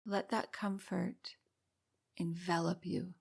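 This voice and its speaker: Low-pitched woman guiding a calm meditation. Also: gravelly, husky. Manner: calm, soothing, unhurried instruction with pauses. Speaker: meditation guide and podcast host. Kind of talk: guided meditation narration.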